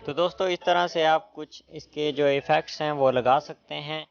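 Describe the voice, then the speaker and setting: A man with a creaky voice at an average pitch presents a casual app tutorial, step by step. The recording is dull and lo-fi. Music plays faintly behind him. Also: fairly even intonation, normal speed. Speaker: tech tutorial host. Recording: muffled lo-fi voice with background music.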